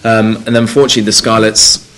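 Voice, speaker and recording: Young North Wales man, rugby player at a presser, low-ish voice, halting and full of "you know". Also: flat delivery, a bit bright, rough recording. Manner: hesitant, many fillers and restarts. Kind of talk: press conference interview.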